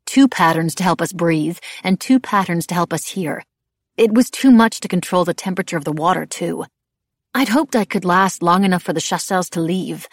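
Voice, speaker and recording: A woman's voice: fairly low pitch, normal speed, creaky, husky, no room sound, clean studio sound. A woman voicing a defiant, guarded young witch heroine in an audiobook, earnest and defensive.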